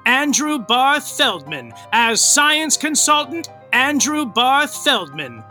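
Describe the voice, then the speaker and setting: An actor playing an arch, melodramatic soap-opera announcer, delivering scripted comic narration with theatrical, mock-grand flourish. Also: male voice, very high-pitched, bright, forceful tone, heavily acted.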